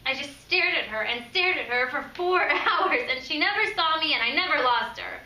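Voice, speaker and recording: A woman performing a monologue as a jilted, obsessive young woman confessing uneasily and haltingly, in a roomy recording. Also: sits high, animated, pushed and bright, full character voice. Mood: fixated. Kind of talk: theatrical audition monologue. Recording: roomy, distant mic, faint noise.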